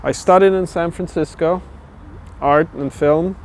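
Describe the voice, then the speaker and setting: A middle-aged man from Austria, a guitar builder talking thoughtfully and at a measured pace in a documentary interview, pausing often with "uh". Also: a little high, a little mellow, a little hiss or hum. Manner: thoughtful, measured, with frequent "uh" pauses. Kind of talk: documentary interview, reflective storytelling.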